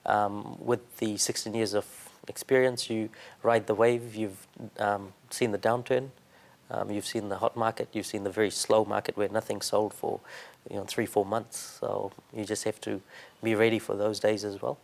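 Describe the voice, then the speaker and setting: A man with a somewhat low, gravelly voice talks about the property market as a guest. His manner is easygoing and agreeable, and his answers come casually. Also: little pitch movement, normal speed. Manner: easygoing, agreeable, says "yeah" a lot. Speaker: real estate agent guest.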